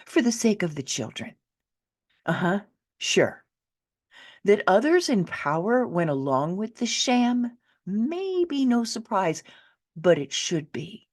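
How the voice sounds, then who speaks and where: Woman, deep voice, very animated pitch, author reading own nonfiction, emphatic, indignant, sarcastic asides. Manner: emphatic and indignant, with rhetorical questions.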